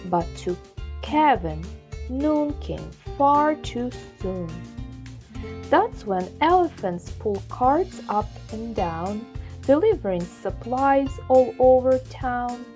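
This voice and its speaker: A woman narrating a rhyming children's storybook aloud in an expressive, scripted style. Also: fairly high pitch, slow pace. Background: background music.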